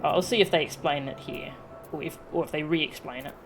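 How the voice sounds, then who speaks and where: A woman's voice: low-pitched, fairly quick. A woman game streamer chatting casually with her viewers on a livestream. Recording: game music behind the voice. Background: video game music.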